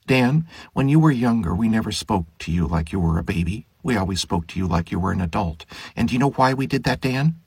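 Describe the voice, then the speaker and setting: A man voicing a stern, reproachful woman in an audiobook style, speaking deliberately. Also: fairly low pitch, expressive, gravelly, darkish tone, breathy edge, dry recording, voice pushed up, full character voice.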